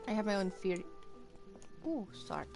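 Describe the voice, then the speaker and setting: Female game streamer, off-the-cuff commentary, average pitch, clear background music. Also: expressive, moderate pace. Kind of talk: casual live gameplay commentary.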